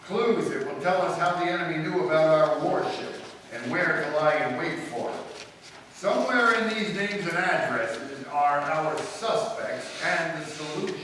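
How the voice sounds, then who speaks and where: Man playing a bright-voiced detective in a big hall. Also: a little high. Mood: determined. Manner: brisk, authoritative. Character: confident, commanding young male detective leading investigation. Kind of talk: live old-time radio drama acting. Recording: distant, very echoey hall, faint noise.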